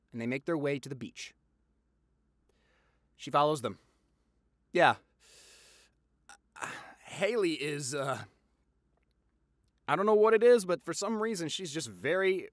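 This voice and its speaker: A man playing a popular boyfriend eagerly telling gossip to his partner in a conversational audio roleplay, with dramatic pauses and repeats for emphasis. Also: a little high, fairly bright tone, no room sound, clean studio sound. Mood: incredulous, dramatic.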